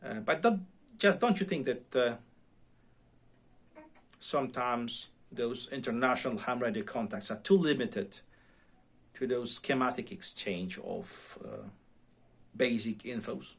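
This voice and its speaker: A middle-aged man with a non-native English accent and a mid-pitched voice talks casually to his viewers. He speaks in a halting, unscripted way, repeating the starts of phrases as he searches for the next word. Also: animated, creaky, breathy edge. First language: non-English, self-taught English speaker. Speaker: amateur radio vlogger. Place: home radio room.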